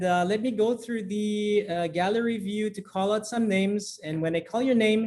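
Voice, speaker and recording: A man's voice: high-pitched, a little mellow. A man in his forties hosting an online business meeting over a video call, politely calling on attendees one by one. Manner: friendly, methodical, calling on attendees in turn. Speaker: meeting host. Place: indoors, before a branded virtual backdrop.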